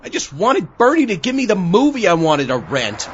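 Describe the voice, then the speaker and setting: An actor playing a mildly irritated zombie astronaut in an audio comedy. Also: male voice, high-pitched, wildly swooping pitch, normal speed, highs cut off, slight background noise.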